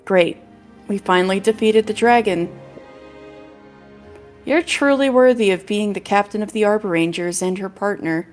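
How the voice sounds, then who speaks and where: A woman's voice: mid-range voice, normal speed, long pauses, a little mellow. A woman streaming a video game, chatting casually and reading story dialogue aloud, with game music behind her.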